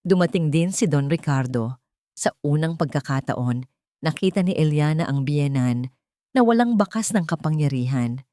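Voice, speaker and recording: A woman's voice: low voice, expressive, moderate pace, dark tone, dry recording, studio quality. A woman with a Filipino accent reading a dramatic scripted story as its narrator.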